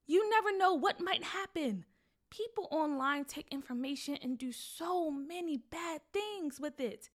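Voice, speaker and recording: Storybook mom: woman voicing a firm, scolding, protective parent, slightly high voice. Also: expressive, measured pace. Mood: stern. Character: stern, protective mother.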